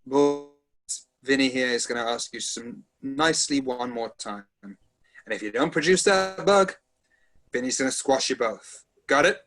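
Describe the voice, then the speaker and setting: A man's voice: medium pitch, normal speed, a bit bright, natural voice. A man voicing an ancient, eccentric mob boss, commanding and threatening, in an amateur table read. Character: ancient, idiosyncratic, menacing mafia boss.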